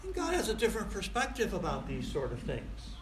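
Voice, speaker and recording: A man's voice: high voice, huge pitch swings, a little fast. A man in his sixties preaching a sermon in a folksy, conversational way, asking rhetorical questions and repeating phrases for emphasis. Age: 60s. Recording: echoey room, faint background noise.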